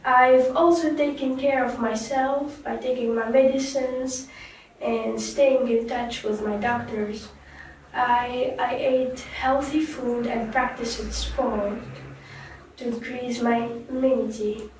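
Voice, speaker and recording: A woman playing a young guest in a staged talk show, recounting her story slowly and haltingly with restarts, recorded far off in an echoey room. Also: on the high side, steady tone, a little mellow, a little hiss or hum. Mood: earnest. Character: young woman survivor, remorseful and soft-spoken. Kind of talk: scripted role-play interview.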